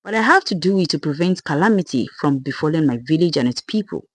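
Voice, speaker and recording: An older village mother as a storyteller reads her from a dark folktale, serene and unfazed. She explains herself softly and patiently in a slightly breathy voice with a swaying melody, recorded dry. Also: medium pitch, expressive, fast, dry recording, natural voice. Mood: patient, unrepentant.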